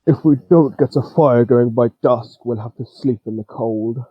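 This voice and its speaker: A man voicing a grumpy, sardonic old pony ranger for a fan fiction reading, gruff in manner. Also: mid-range voice, normal speed, no echo, narrow, tinny audio, lightly acted.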